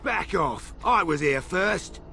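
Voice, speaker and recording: An actor playing a hapless, defensive scavenger in a video game, rambling and griping in a colloquial way. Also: male voice, high-pitched, lively intonation, slow, vocal fry, pushed and bright, a little hiss or hum, exaggerated voice. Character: shifty, grumbling male scavenger, hapless and defensive. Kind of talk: video game character dialogue.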